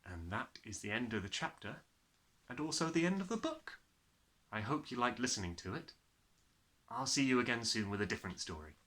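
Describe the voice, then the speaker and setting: A man narrates a children's story with animated, swooping intonation. His mid-pitched voice is bright and forceful, and his reading is expressive. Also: a little fast, long pauses.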